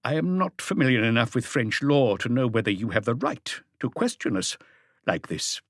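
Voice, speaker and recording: A man voicing an evasive, haughty wealthy husband in an audiobook, speaking in a formal, defensive, halting way. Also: medium pitch, sing-song, dry recording, clean studio sound. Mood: uneasy.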